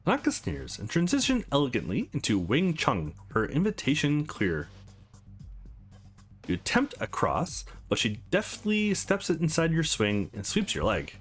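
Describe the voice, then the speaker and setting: Male game streamer reading story text aloud, highly animated swings in pitch, music underneath. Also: moderate pace. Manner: expressive, acts out the text as he reads. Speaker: let's play host. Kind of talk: dramatic read-aloud of a visual novel with offhand commentary.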